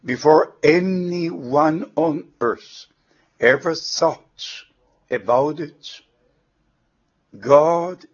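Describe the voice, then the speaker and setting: A man with a German accent, a native German speaker, preaching a sermon in slow, measured, deliberate short phrases. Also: fairly high pitch. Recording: dull lo-fi sermon recording.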